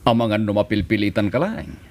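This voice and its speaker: A man playing a self-assured gang boss in a radio drama, measured and questioning. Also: fairly low pitch, natural voice. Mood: probing. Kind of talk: Ilocano radio drama acting. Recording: music playing under the voice. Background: music.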